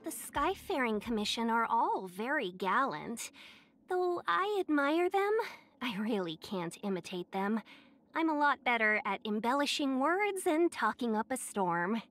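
A woman's voice: a little high, big pitch swings, a little slow, fairly bright tone. A woman voicing a young fox-eared merchant in a video game, silver-tongued, polite and playfully coy. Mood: chatty, courteous. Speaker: game character voice. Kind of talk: scripted video game character voice lines.

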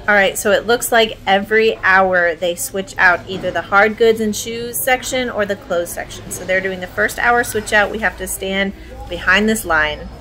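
A woman's voice: mid-range voice, fairly bright tone, rough recording. A woman in her thirties chatting casually and informally to her viewers as a thrift reseller vlogger. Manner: informal, addresses viewers as guys. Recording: clear background noise, warehouse ambience.

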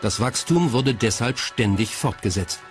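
A man's voice: mid-range voice, animated, a little slow, over loud music. A man reading scripted documentary narration in the role of narrator.

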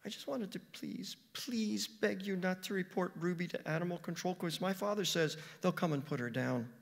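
A man's voice: high-pitched, normal speed, vocal fry, voice pushed up. A man doing a precocious pleading teen girl. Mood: earnest pleading.

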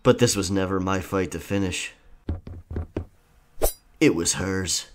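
A man acts out a roguish male pirate in an ASMR roleplay. He speaks slowly and theatrically, drawing words out with a sly, cocky charm. The delivery is taunting, like a swaggering bargainer at sea. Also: sits low, background noise. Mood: wry, pleased.

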